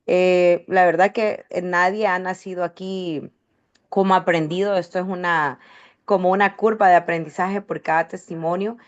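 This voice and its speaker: A woman's voice: fairly low pitch, steady tone, moderate pace, dull audio. A woman hosting a health testimonial session, talking in loose run-on phrases with frequent restarts. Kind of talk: warm conversational hosting.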